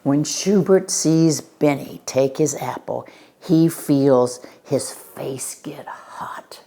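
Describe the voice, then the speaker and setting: An older woman reading a storybook aloud to children, narrating expressively and dramatically, with hushed words and direct questions. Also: deep voice, takes it slowly.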